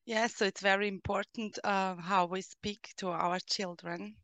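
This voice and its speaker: A woman from Austria with an Austrian German accent, answering interview questions slowly and hesitantly, with frequent fillers, explaining her coaching work. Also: medium pitch, fairly even intonation, fairly bright tone, dry recording. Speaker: guest, coach who helps children and adults.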